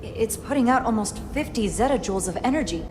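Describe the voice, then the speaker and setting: An actress playing a focused, capable science officer in a sci-fi video game, speaking precisely and earnestly. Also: female voice, on the high side, lively intonation, fast, noisy background, lightly acted. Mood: awed, alarmed. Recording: loud game music underneath. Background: game soundtrack.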